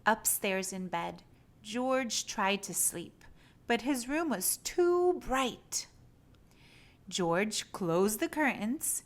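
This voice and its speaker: A woman reading a children's storybook aloud in an expressive, animated storytelling style. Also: fairly high pitch. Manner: animated, acts out animal sounds and exclamations.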